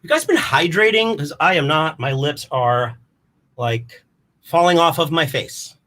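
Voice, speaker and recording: A man's voice: fairly high pitch, lively intonation, measured pace, bright, forceful tone. A man performing casual rambling comedy in a scatterbrained, theatrical manner. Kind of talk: rambling casual comic livestream.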